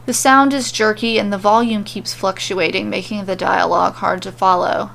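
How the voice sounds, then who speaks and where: A woman's voice: mid-range voice, steady tone, moderate pace, creaky, fairly bright tone. A woman narrating scripted comedic fan fiction as a storyteller.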